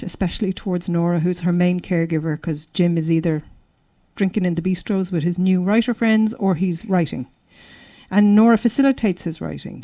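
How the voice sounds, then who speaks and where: A woman's voice: fairly low pitch, mellow. A middle-aged Irish woman giving a conversational literary talk as an author. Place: indoor library room before a seated audience.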